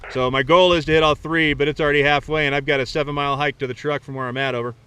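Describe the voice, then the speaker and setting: A man's voice: fairly high pitch, steady tone, rapid-fire, bright, forceful tone. A man talking casually and easily outdoors as an amateur radio operator, in colloquial language. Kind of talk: casual radio chat and outdoor vlog talk. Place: outdoors on a rocky mountain summit. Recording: faint outdoor background noise.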